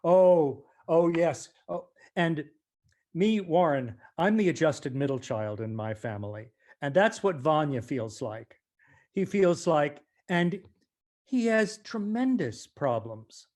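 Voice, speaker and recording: An American man, an actor being interviewed, speaking reflectively and deliberately, leaning on key words for emphasis. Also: on the high side, animated, a little mellow. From United States.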